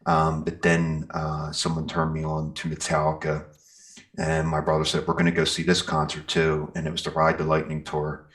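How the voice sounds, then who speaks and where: Man, middle-aged, very deep bass voice, informal, rambling, conversational storytelling, interview guest. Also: completely flat delivery, moderate pace, a bit bright. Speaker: interview guest, metal singer and guitarist.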